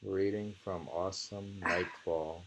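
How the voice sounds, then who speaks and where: Gravelly, low-voiced man reading poetry. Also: fairly even intonation, background noise. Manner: deliberate delivery when reading, musing and tentative in asides. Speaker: reader of poetry. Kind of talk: reading translated poems aloud with informal commentary.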